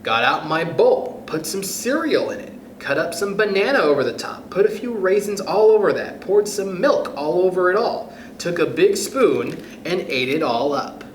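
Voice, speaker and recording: Male children's storytime reader, higher-pitched and very animated, addressing kids directly, recorded in a roomy space. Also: moderate pace, few pauses. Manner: expressive, addresses young listeners directly. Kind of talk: picture book read-aloud for children. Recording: roomy echo with faint background noise.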